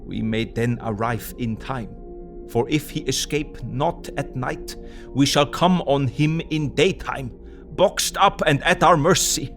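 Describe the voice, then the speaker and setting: A man playing a learned, resolute older foreign professor in an audio drama, speaking deliberately with quaint phrasing. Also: mid-range voice, a bit bright, soft background music. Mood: grave, explaining. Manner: deliberate, emphatic, quaint non-native phrasing.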